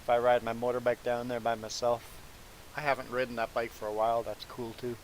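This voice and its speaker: A man with a mid-pitched voice chats casually as a live streamer. He sounds a little sniffly and rambles along in a conversational way. A faint hiss sits behind his voice. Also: a little fast, poor audio. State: sniffly nose from congestion. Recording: steady background hiss.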